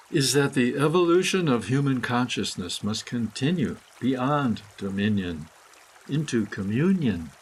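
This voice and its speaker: A man reading his own scripted meditative prose poem in a solemn, deliberate manner with dramatic phrasing. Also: mid-range voice, breathy edge. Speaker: author reading own essay.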